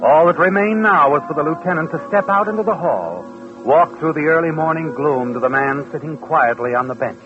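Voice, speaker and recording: A man's voice: fairly high pitch, lightly acted. A man acting an ominous, all-knowing narrator, telling a 1940s radio mystery in a dramatic way. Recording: tinny vintage radio recording. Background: prominent music.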